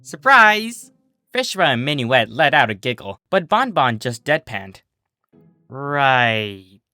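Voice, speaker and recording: Storybook narrator and reading host: bright tone, swooping intonation, theatrical delivery, dry sound. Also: mid-range voice, moderate pace, no echo, soft background music. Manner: animated, theatrical. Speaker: narrator and host of a fan fiction reading channel.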